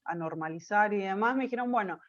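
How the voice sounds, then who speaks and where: Argentine lady telling her story, lots of ehs and restarts, mid pitch, kinda muffled audio. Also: quick pace, fairly bright tone. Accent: Argentine Spanish. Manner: hesitant, many fillers and restarts. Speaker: interview guest. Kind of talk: personal testimony in conversation.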